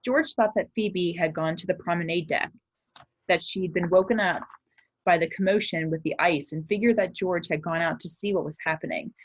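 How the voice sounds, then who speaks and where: A woman with a low-pitched voice speaks as an elementary teacher, explaining and reading a children's story. She addresses pupils in simple, clear-cut terms. The recording is dry, with little room sound. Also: normal speed, no room sound, poor audio.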